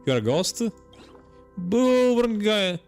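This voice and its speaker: A man's voice: sits high, sing-song, takes it slowly, gravelly, a little mellow, faint music behind, pitched above the performer's own voice, full character voice. A man voicing a playful, teasing ghostly bird from a video game, reading it out in a chirpy manner. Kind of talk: reading game dialogue in character.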